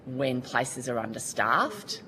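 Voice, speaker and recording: A woman's voice: rumbling low, animated, slow, bright, forceful tone, a little hiss or hum, low-quality recording. An Australian woman giving earnest, colloquial testimony at a Senate committee hearing. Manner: earnest, colloquial, restarts often. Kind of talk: formal testimony.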